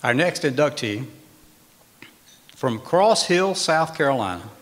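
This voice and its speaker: A man's voice: fairly high pitch, lively intonation, slight background noise. Man introducing an inductee in a reverberant hall. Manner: reads the honoree's record in measured, emphatic phrases. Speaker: master of ceremonies. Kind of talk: formal ceremony introduction. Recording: long reverb of a large hall.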